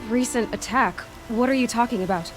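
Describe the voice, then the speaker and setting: A woman's voice: on the high side, fairly quick, soft background music, close to an everyday voice. An actress playing a determined young woman hunter in a video game, speaking firmly and directly. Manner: firm and direct. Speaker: protagonist. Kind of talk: game cutscene acting. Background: game score.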